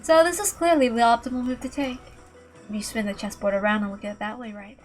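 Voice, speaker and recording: A woman voicing a calm, calculating female killer, mocking and matter-of-fact, in a visual novel reading. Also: a little high, quick pace, faint music behind, lightly acted. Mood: cold, reasoning.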